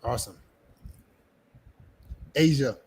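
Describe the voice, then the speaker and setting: A man's voice: mid-range voice, slow, darkish tone, a little hiss or hum. A man hosting a podcast panel, pressing the guests with questions, often cutting in and repeating himself. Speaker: podcast host. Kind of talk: casual panel discussion.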